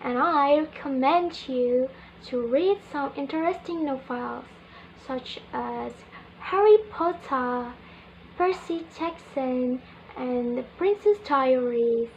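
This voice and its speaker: A young woman with an Indonesian accent, a university student giving a prepared spoken reflection in English, slow and careful, calling her listeners "guys". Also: high-pitched, darkish tone, muffled recording, a little hiss or hum. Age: young adult.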